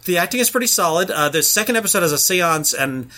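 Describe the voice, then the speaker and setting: Bright-voiced man rambling about TV. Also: sits high, lively intonation, quick pace. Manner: rambling, many fillers and restarts. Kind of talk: casual chat reviewing TV shows.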